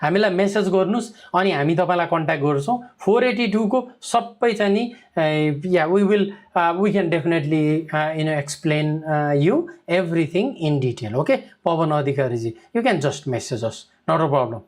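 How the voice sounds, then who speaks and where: Guy talking super fast, advisor answering comments, lots of uh and you know. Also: a little high, expressive, darkish tone. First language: Nepali. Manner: code-switching, heavy fillers, frequent checks like "okay?". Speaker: migration advisor. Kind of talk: live Q&A answering viewer comments.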